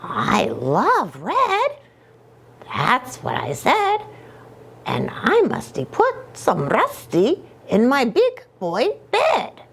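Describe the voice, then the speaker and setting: A woman voicing an excitable little boy kitten from a storybook, playful and theatrical. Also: sits high, extremely slow, creaky, slightly rough, pitched above the performer's own voice, full character voice. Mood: gleeful.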